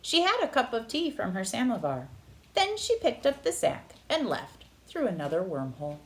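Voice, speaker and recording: A woman narrating a children's picture book aloud with expressive, dramatic phrasing. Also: medium pitch, moderate pace, a little hiss or hum, low-quality recording. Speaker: read-aloud storyteller.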